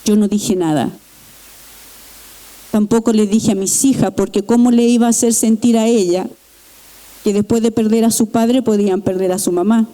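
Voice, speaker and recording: A middle-aged woman from Chile, giving an earnest, halting personal testimony in church with pauses and restarts. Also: mid-range voice, steady tone, dark tone, poor audio. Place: church sanctuary.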